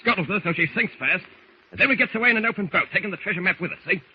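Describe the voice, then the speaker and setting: An actor playing a scheming, impatient Cockney sailor henchman in rough nautical slang, in old radio drama style. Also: sits high, racing. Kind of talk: 1940s radio acting. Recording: muffled, noisy old radio recording.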